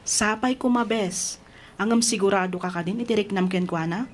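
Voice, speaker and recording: A woman playing a chatty young best friend in an Ilocano radio drama, teasing and animated. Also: medium pitch, moderate pace, close to an everyday voice. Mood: concerned.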